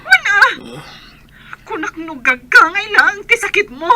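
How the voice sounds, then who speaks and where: A woman's voice: very high-pitched, sing-song, measured pace, very noisy, exaggerated voice. A woman playing a distraught, accusing former lover in an audio drama, speaking in a plaintive, reproachful manner. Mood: tearful reproach. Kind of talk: dramatized story acting.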